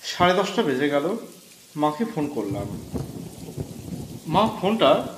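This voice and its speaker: Slow, expressive male storyteller with echo. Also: a little high, noisy background. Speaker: audio story narrator. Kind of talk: scripted first-person horror story narration. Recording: echoey voice over background music.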